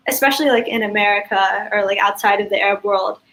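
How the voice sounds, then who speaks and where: A woman's voice: mid-range voice, fast talker, fairly bright tone. Thoughtful young woman with "like"s. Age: young adult. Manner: thoughtful, uses "like" often. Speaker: student panel guest. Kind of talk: reflective casual talk.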